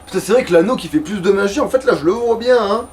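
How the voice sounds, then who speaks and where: A man's voice: sits high, animated, quick pace. A man chats casually over a video game, informal and slangy, swearing offhandedly. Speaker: let's player. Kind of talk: casual gaming chat. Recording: distant mic with background noise.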